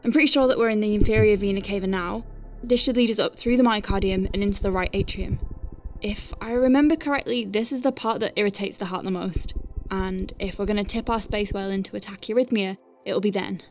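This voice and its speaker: A woman's voice: mid-range voice, fairly quick, faint music behind, close to an everyday voice. A woman playing an anxious but wry medic piloting a craft in a science fiction audio drama, thinking aloud in technical terms. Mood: focused, thoughtful.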